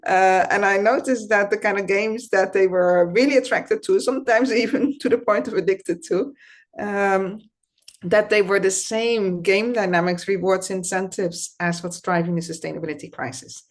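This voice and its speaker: A middle-aged woman with a Dutch accent, speaking over a video call as an interview guest and talking in a thoughtful, reflective way with soft laughs. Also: medium pitch, fairly even intonation, moderate pace. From Netherlands. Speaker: guest, founder and system scientist. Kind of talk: conversational interview.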